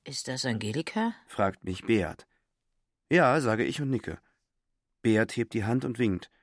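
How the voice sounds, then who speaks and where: An actor playing an uneasy crane operator in a radio play monologue, hushed, terse and measured. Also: male voice, medium pitch, animated, dry recording, studio quality. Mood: subdued, uneasy. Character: male crane operator narrating his unease.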